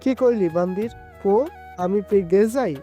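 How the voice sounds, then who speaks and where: A woman's voice: medium pitch, unhurried, warm tone, lo-fi sound, soft background music, natural voice. A woman voicing a stern, scolding village father with a grave, blunt manner and a Bangladeshi Bengali dialect, in an audio story. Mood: gruff disapproval.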